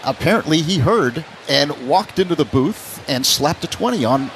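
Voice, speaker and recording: A man's voice: fairly high pitch, expressive, moderate pace, a bit bright. Man reporting on baseball over faint noise. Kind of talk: conversational sports broadcast segment.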